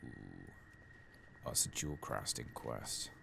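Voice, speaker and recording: A soft-spoken man who often drops into a whisper, muttering casually to himself as he commentates on a video game in an ASMR style. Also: very deep voice, measured pace, vocal fry, noisy background. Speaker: host playing a video game.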